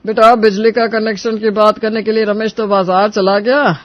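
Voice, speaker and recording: Male actor as elderly sasur: very high voice, stubborn yet gullible, emphatic, cartoon storytelling, slightly muffled audio. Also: little pitch movement, normal speed, no echo, lightly acted. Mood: cheerful, bossy. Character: stubborn, gullible old father-in-law of farming family. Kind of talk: animated Hindi moral story dialogue.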